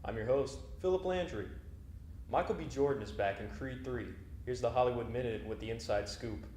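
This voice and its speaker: A man hosting an entertainment news show, reading scripted news in an even, formal newsreader manner. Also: mid-range voice, a little fast. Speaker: news host. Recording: echoey room with faint ambient noise.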